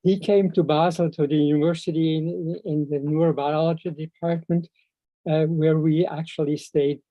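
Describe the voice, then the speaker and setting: An older man, a native Swiss German speaker with a Swiss German accent, giving an informal spoken tribute over a video call, halting and often searching for words. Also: a little high, flat delivery. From Switzerland. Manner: halting, searching for words, frequent long hesitations. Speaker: speaker at a memorial seminar. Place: video call, seated indoors. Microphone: video call microphone. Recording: muffled, echo-free video call audio.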